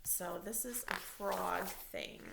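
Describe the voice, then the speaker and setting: A woman reading a picture book aloud like a teacher, pausing to explain and ask questions of the listeners. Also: lowish voice, gravelly, background noise. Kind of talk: expressive storybook read-aloud with teaching asides.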